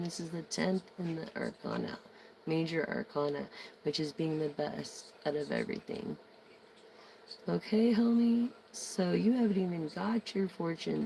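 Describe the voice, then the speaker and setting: A tarot reader with a hoarse voice, giving a casual, rambling reading in slangy talk full of ums. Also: mid-range voice, a little mellow, a little hiss or hum, rough recording. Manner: slangy, meandering, many ums.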